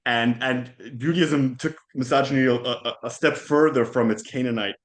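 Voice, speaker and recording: A man whose first language is Hebrew, speaking as a podcast guest in a thoughtful, halting way with frequent restarts. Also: medium pitch, fairly even intonation. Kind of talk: conversational interview.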